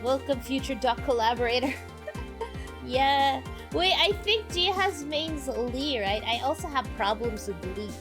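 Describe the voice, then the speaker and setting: A woman's voice: sits high, animated, measured pace. A woman streamer chatting casually and reacting to a game in a giggly, exclaiming way. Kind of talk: casual livestream chat and game commentary. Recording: music behind. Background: game music.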